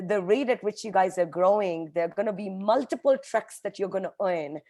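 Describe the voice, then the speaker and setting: A woman's voice: mid-range voice, darkish tone. A woman whose native language is Hindi, giving an earnest, emphatic motivational talk to a team on a call as a guest speaker. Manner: earnest, emphatic, addresses listeners directly as a team. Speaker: guest speaker, company vice president. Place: online group call.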